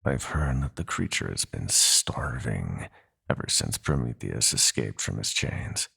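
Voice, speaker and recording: A man playing a sadistic, possessive god of nightmares in an audio roleplay, with a gravelly, breathy growl and a slow, taunting, theatrical delivery. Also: a bass voice, lively intonation, bright, forceful tone, full character voice. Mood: gloating menace. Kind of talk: dramatic audio roleplay villain.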